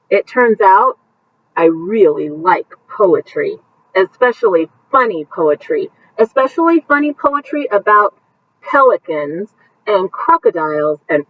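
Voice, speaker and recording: Female teacher, bedtime read-aloud, very expressive voice, stops to comment on the pictures, dull lo-fi sound. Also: medium pitch, far from the mic, a little hiss or hum. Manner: animated, pausing to point out pictures to young listeners.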